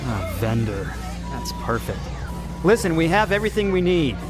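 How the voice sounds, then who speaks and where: An actor playing a bitter, world-weary carnival manager in an audio drama, irritable and sardonic. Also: male voice, mid-range voice, very animated, normal speed, lightly acted. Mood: dismissive, sardonic. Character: bitter, brooding carnival manager haunted by lost love. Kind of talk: audio drama narration and dialogue. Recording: music behind the voice.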